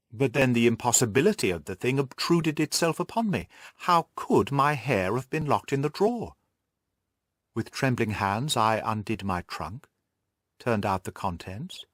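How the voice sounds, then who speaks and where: A man's voice: mid-range voice, a bit bright, dry recording, clean studio sound. A man voicing an earnest, curious young governess who recounts events in formal Victorian storytelling for an audiobook. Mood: puzzled.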